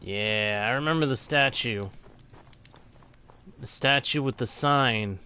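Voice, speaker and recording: A man's voice: medium pitch, slow pace, pushed and bright, clean tone. A man hosting a gaming stream, thinking aloud in a rambling, casual way, repeating himself and using mild folksy exclamations. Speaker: game streamer hosting a let's play.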